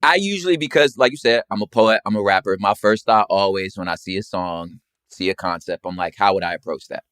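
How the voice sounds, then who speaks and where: A man's voice: fairly low pitch, a little fast, a bit bright, smooth, no room sound, clean studio sound. An American man chatting casually about music in an emphatic, slangy way, often repeating his phrases. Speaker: rapper and poet, guest.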